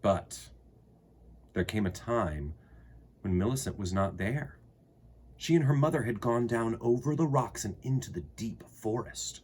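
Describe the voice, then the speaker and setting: A man reading a children's story aloud expressively, with deliberate phrasing and dramatic pauses. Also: medium pitch, expressive, a little mellow, slightly rough.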